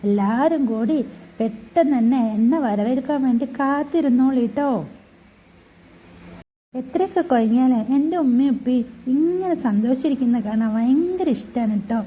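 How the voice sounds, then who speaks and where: A woman's voice: sits high, animated, normal speed, dark tone, husky, low-quality recording. A woman voicing a chatty, cheeky newborn baby in a family video voice-over, talking in a sing-song, cooing way with teasing asides.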